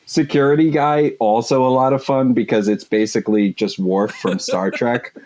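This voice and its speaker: A man chatting casually as an interview guest, rambling and halting as he searches for words. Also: medium pitch, fairly even intonation, a crowd in the background. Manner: halting, rambling, heavy use of like. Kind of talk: casual chat.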